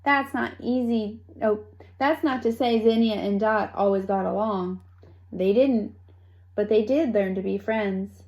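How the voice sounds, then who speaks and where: Slow, expressive woman reading a storybook. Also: medium pitch, highs cut off. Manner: expressive, with playful asides to young listeners. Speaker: storybook reader. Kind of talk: children's read-aloud storytelling.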